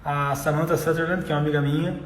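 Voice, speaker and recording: A man with a Brazilian Portuguese accent hosts a live online interview, stumbling through with frequent fillers and restarts. His voice sits somewhat high, and it rings in a reverberant room with faint background noise. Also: steady tone, very fast. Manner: hesitant, many fillers and restarts.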